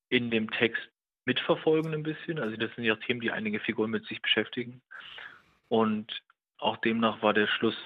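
A man in his thirties, a writer being interviewed by telephone, talking in a reflective, halting, thoughtful way. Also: fairly low pitch. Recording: dull, narrow telephone line.

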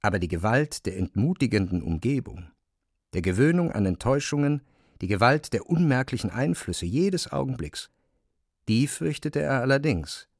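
A man's voice: medium pitch, expressive, normal speed, no echo, studio quality. A man reading scripted literary narration as the narrator of a radio play.

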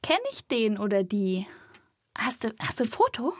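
A woman hosting a children's podcast, speaking to young listeners directly and chattily in lively scripted narration. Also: mid-range voice, moderate pace.

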